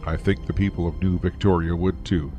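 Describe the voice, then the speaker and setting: An actor playing an earnest, loyal starship officer and protective father in an audio drama, grave and deliberate. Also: low voice, steady tone, over music, natural voice. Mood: grave conviction.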